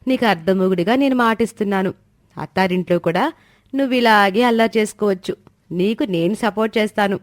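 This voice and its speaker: A woman's voice: medium pitch, fairly quick, no echo, studio quality, lightly acted. A woman acting a dramatic, mischievous young village woman. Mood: reassuring, playful. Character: mischievous young women of a village family, bride and sister-in-law. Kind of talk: animated moral story dialogue.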